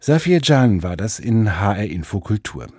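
A man's voice: fairly low pitch, sing-song, moderate pace, music in the background. A man working as interviewer and host of a cultural radio programme, speaking in a studio.

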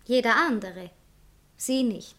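An actress playing a passionate, self-dramatizing young bride-to-be in a satirical radio play. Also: female voice, fairly high pitch, lively intonation, slow, fairly bright tone, full character voice. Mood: guarded. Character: passionate young bride-to-be who writes novels. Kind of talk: theatrical literary satire dialogue.

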